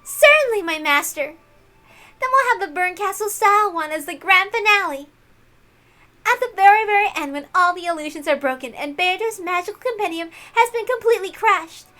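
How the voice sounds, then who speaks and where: A woman's voice: very high-pitched, expressive, brisk, pushed and bright, pitched above the performer's own voice, heavily acted. A woman voicing a fanatically eager young servant girl, gushing and theatrical in her devotion to her mistress. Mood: eager, fervent.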